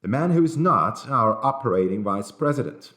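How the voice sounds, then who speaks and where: A man's voice: medium pitch, moderate pace, echoey room. A man voicing an impassive, accusing train conductor in an audiobook, terse and pointed. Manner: flat, terse, pointedly emphatic.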